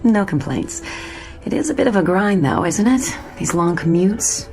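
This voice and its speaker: An actress playing a friendly, wistful commuter in an audio drama, casual and a little tentative. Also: female voice, mid-range voice, very animated, normal speed, warm tone, faint music behind. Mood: wry, friendly.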